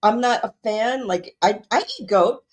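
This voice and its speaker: A woman's voice: mid-range voice, unhurried. A woman from the Caribbean, co-hosting a podcast in casual chat, laughing often and repeating herself. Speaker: podcast co-host.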